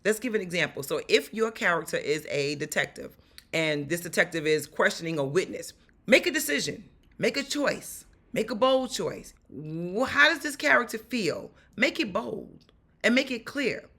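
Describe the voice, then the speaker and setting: A woman from New York with a raspy voice and a New York accent, giving upbeat, chatty audition advice straight to the viewer on a lapel mic. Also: medium pitch, animated, normal speed, bright, forceful tone. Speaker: actor giving audition tips. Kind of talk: direct-to-camera vlog advice.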